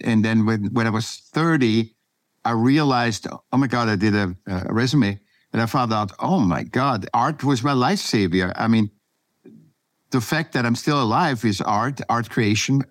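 A man's voice: mid-range voice, no echo. Austrian-accented man talking in a dry recording. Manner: halting, repeats words for emphasis. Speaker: podcast guest, artist. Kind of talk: spontaneous personal storytelling.

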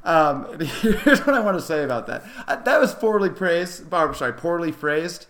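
A man's voice: fairly high pitch, expressive, normal speed, fairly bright tone. A man co-hosting a sports podcast, talking casually about basketball in a rambling, emphatic, self-correcting way.

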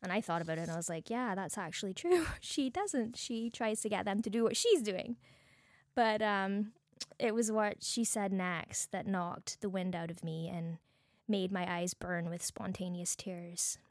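A woman with a Bajan accent giving an earnest, deliberate devotional teaching talk as a host, mixing prepared points with personal reflection. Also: mid-range voice, no room sound, clean studio sound. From Barbados.

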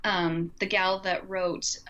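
A woman's voice: lowish voice, little pitch movement, takes it slowly, a bit bright, muffled recording. A woman co-hosting a podcast in an informal, enthusiastic, conversational way. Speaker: podcast co-host. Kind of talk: casual podcast chat.

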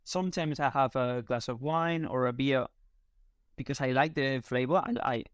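Spanish guy w spanish accent, clean smooth voice, casual interview chat, hunts for words a bit, dry sound. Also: medium pitch, moderate pace, no room sound. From Spain. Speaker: interview guest, content creator. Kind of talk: casual conversation.

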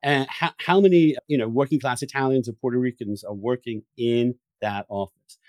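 A man's voice: mid-range voice, moderate pace, darkish tone, dry recording. A man hosting a podcast conversation, talking in an emphatic, opinionated way with some swearing. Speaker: podcast host.

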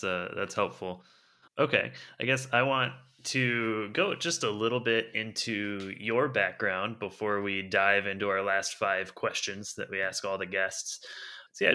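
A man hosting a podcast interview, asking curious, slightly halting questions. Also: lowish voice, pushed and bright, clear, resonant tone. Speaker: podcast host.

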